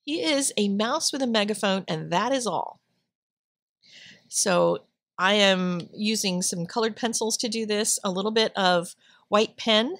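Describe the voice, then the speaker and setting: A woman's voice: mid-range voice, unhurried, a bit bright, dry recording. Middle-aged woman host, casual voice-over, chatty storyteller, dead-dry close mic. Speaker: Bible journaling channel host. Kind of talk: casual voice-over while drawing.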